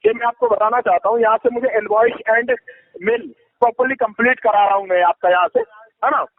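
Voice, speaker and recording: A man making a phone sales pitch, insistent, repetitive and evasive. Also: fairly quick, slight background noise. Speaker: advisory company agent on a sales call. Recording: tinny, echoey phone call recording.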